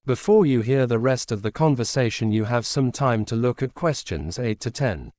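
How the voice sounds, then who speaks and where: A man reading scripted instructions as a test announcer, formal and measured. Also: mid-range voice, expressive, a little mellow.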